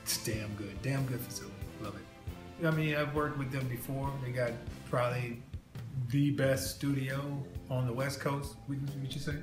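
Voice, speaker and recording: A man's voice: mid-range voice, normal speed. Laid-back male developer, jokes easily, echoey room, music underneath. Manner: relaxed, loose, jokey. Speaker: game developer. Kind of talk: casual interview chat.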